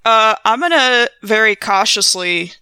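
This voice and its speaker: Bright, dry-sounding woman playing a game. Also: fairly high pitch, unhurried, clean tone, no room sound. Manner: playful, thinking aloud. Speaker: tabletop game player. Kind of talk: casual improvised role-play and table chat.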